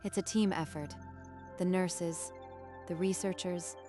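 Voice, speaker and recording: A woman's voice: fairly low pitch, steady tone, measured pace, music in the background, natural voice. A woman playing a senior doctor in a manga dub, modest, polite and professional. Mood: modest politeness. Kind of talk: scripted manga dub dialogue.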